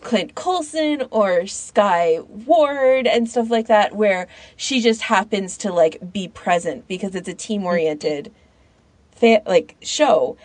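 A woman with a mid-pitched voice talks casually as a podcast co-host. She is informal and opinionated, and says "like" often. The recording is dry. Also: lively intonation, slow, darkish tone, no echo. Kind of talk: casual chat.

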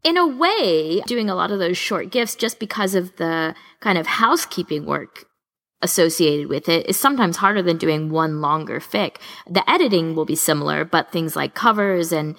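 A woman's voice: on the low side, animated, moderate pace, fairly bright tone. A woman co-hosting a podcast in casual conversation, supportive and thoughtful as she responds. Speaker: podcast co-host, interviewer. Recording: long reverberant echo.

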